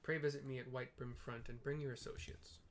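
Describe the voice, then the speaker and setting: A man's voice: mid-range voice, steady tone, fairly quick, slight background noise, lightly acted. A man reading aloud the game dialogue of a courteous noble ally in a polite, formal manner. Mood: apologetic, courteous.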